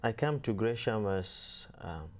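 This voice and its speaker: A young man from Kenya, a seminarian guest, talking casually and softly with many fillers. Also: fairly low pitch, expressive, a little slow. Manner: soft-spoken, many fillers, laughs easily. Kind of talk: casual interview talk.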